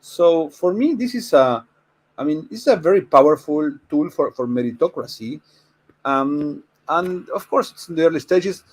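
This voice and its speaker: A man from Argentina with an Argentine Spanish accent, speaking as a panelist in an informal discussion and explaining things haltingly. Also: a little high, animated.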